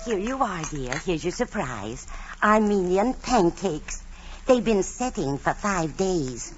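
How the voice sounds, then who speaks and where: A woman's voice: on the low side, very animated, slow, music in the background. An actress playing a scatterbrained, motherly landlady who fusses and chatters in a 1940s radio comedy. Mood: proud, insistent. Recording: muffled, noisy 1940s radio recording.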